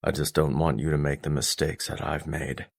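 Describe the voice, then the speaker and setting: A man voicing a stern, intimidating middle-aged father in an audiobook, speaking in a grave, restrained, deliberate way with a gruff love underneath. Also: flat delivery, heavily acted. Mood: regretful, grave. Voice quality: deep, low, weighty voice.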